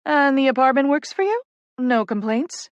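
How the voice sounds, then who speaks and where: A woman voicing a calm, motherly office colleague in an audiobook, friendly, businesslike and reassuring. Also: sits high, moderate pace, dry recording, highs cut off, pitched above the performer's own voice.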